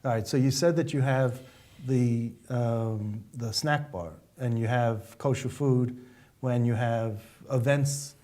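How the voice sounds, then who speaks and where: A male talk show host asking curious, quick questions of his guests. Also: medium pitch, dark tone.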